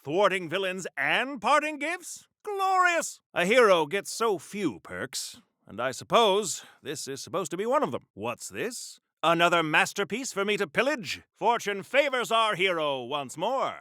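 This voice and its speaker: An actor playing a pompous, earnest old-fashioned comic book superhero in a video game, declaiming theatrically in retro mid-century style. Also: male voice, high-pitched, big pitch swings, unhurried, hardly any pauses, bright, forceful tone, dry recording, studio quality, heavily acted. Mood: delighted.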